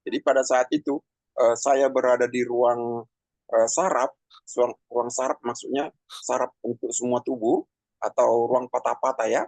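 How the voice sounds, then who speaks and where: A man in his fifties giving a personal testimony in a halting, conversational way, with many pauses and fillers. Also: mid-range voice, level tone, a little mellow, no echo, low-quality recording. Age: 50s. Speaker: testimony speaker.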